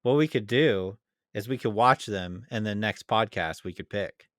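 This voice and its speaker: A man co-hosting a podcast in relaxed, casual conversation, agreeable and plain-spoken. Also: lowish voice, moderate pace, no room sound, clean studio sound. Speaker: podcast co-host. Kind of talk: casual chat.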